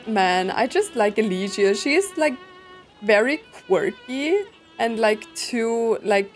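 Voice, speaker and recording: Slow, chatty young woman reacting to a game. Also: on the high side, lively intonation. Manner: chatty, fills with "like" and "right". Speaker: gameplay streamer. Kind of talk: casual reaction commentary. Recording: voice over game music.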